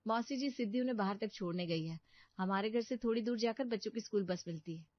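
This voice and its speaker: A woman's voice: medium pitch, fast, no room sound, lightly acted. A woman voicing a dutiful young daughter-in-law in a Hindi cartoon, speaking respectfully as she explains things. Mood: explaining. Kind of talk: Hindi cartoon family story dubbing. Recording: dull, muffled studio voice track.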